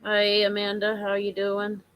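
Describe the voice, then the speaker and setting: A woman with a hoarse voice from allergies, talking casually and haltingly to her viewers on a home live stream. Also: mid-range voice, monotone, a bit bright, dry recording. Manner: halting, repetitive, earnest.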